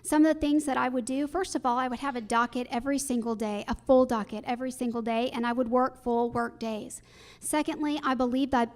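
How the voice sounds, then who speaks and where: Female political candidate, high voice, earnest and argumentative, giving structured forum answers. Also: darkish tone.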